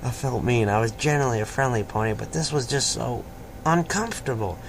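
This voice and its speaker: A man's voice: medium pitch, fairly quick. A man narrating a story aloud in an informal, amateur way, sometimes stumbling over words. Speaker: fan fiction reader. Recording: clearly noisy amateur home recording.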